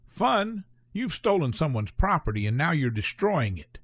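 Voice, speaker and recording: A man voicing a stern, authoritative older gentleman who lectures some boys, then softens. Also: on the high side, sing-song, moderate pace, dry recording, clean studio sound, pitched above the performer's own voice. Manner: firm, authoritative.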